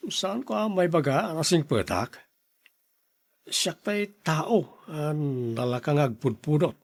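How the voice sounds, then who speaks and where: A man's voice: a little high, expressive, takes it slowly, dry recording, exaggerated voice. A man with an Ilocano accent acting a stern, blustery older brother who turns smitten suitor in a radio drama. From Philippines.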